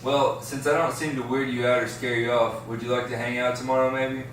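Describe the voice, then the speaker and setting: A friendly, easygoing teenage stoner , read by a man at an amateur table read. The voice has an average male pitch. It sounds distant, in a strongly reverberant room with faint noise. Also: level tone, fairly quick, fairly bright tone, lightly acted. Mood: friendly, tentative. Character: friendly, laid-back teenage boy, a stoner.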